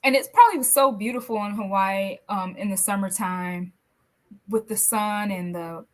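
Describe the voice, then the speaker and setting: A woman from the American South hosting a podcast interview, friendly and affirming, playful as she runs a quick game. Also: mid-range voice. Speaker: podcast host. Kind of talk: casual interview chat.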